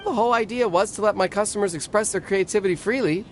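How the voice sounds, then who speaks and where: Man voicing an eager, high-pitched food vendor. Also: fast talker, slight background noise, natural voice. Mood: hesitant, obliging. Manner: eager, deferential, explanatory. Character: eager, earnest male food stall vendor, enthusiastic inventor. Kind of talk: video game character acting.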